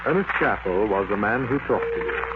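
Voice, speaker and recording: A man's voice: on the low side, expressive, a little fast. A man working as a network announcer, reading formally in 1940s radio style. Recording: tinny old radio recording, clear noise.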